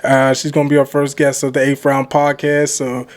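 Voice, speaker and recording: A man's voice: medium pitch, monotone, moderate pace. A man hosting a casual podcast, laid-back and full of fillers, laughing often. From Pittsburgh area. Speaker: podcast host.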